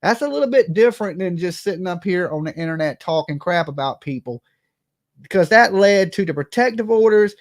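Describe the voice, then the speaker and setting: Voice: mid-range voice, expressive, a little fast. Casting: livestream gossip commentator, mid-range voice, brash and sweary, conversational, punctuates points with "right?" and "okay?". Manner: brash, profane, tags statements with "okay?" and "right?". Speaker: livestream host.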